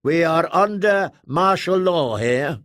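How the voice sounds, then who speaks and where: A man's voice: on the high side, animated, slow pace, a bit bright, no room sound, clean studio sound, voice pushed up. A man doing a stern female traffic controller. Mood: officious. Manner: clipped, repetitive official demands.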